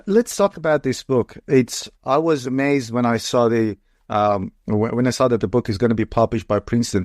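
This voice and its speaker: A man's voice: medium pitch, no echo, clean studio sound. A man from Iran, hosting an interview in a friendly, hesitant way with frequent restarts. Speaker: podcast host. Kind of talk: interview conversation.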